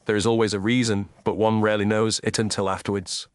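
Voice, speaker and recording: A man voicing a coolly commanding gentleman detective in an audio story, formal, clipped and incisive. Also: on the low side, little pitch movement, quick pace, clear, resonant tone, no room sound, lightly acted.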